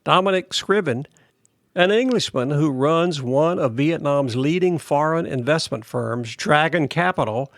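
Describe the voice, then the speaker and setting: A man hosting a podcast, reading a news article aloud in a steady, plain delivery. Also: on the high side, dry recording, clean studio sound. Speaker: podcast host.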